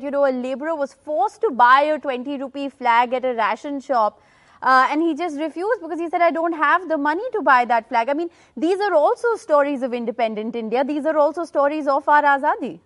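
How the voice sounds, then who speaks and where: A woman hosting a panel discussion, asking probing questions in a measured, articulate way. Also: sits high, fairly bright tone. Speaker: host.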